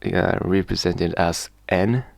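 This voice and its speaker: A man with a Japanese accent, explaining in a hesitant, halting vlog way and slowly sounding out example words. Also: deep voice, gravelly, no echo, studio quality. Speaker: vlogger. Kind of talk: casual spoken explanation to camera.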